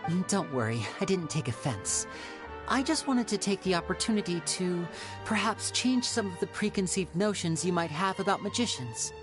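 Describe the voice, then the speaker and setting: A woman playing a suave, theatrical young male stage magician in an anime game, smooth and showmanlike. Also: lowish voice, big pitch swings, moderate pace. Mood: gracious. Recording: music playing behind.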